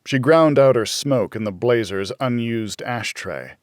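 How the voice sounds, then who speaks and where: Unhurried American man narrating, expressive intonation. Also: medium pitch, dry recording, clean studio sound. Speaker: audiobook narrator. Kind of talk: scripted first-person noir thriller narration.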